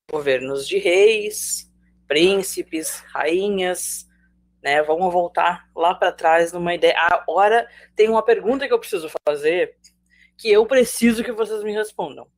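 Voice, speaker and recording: Brazilian woman teacher, casual and joking with students, lowish pitch. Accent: Brazilian Portuguese. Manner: chatty, jokey, addresses students directly. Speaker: sociology teacher. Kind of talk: live online class.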